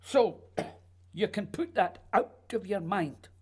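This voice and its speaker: A woman playing a proud, secretive male playwright in a radio play, speaking formally and deliberately in a husky voice. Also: mid-range voice, darkish tone, exaggerated voice. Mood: indignant.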